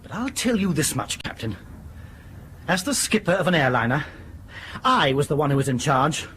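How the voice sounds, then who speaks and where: An actor with a British accent playing an indignant, stubborn airline captain in a radio drama, blunt and exasperated in manner. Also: male voice, fairly high pitch, big pitch swings, moderate pace, a bit bright, a little hiss or hum. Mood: defiant.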